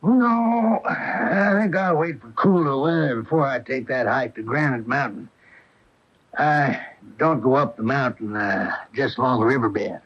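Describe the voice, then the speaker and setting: A man plays a hopeful small-town American prospector in a 1980 radio play. He is medium-pitched and easygoing, with a folksy, questioning delivery, heard through a dull old broadcast. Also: unhurried, lightly acted. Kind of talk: 1980 radio drama acting.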